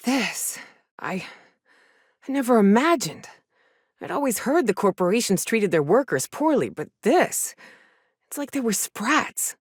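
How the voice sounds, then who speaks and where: A woman's voice: mid-range voice, no echo, studio quality. An actress playing a jaded, hard-drinking frontier mercenary woman in a video game, blunt, sardonic and defiant, with salty phrasing. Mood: disgusted. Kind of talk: video game character dialogue.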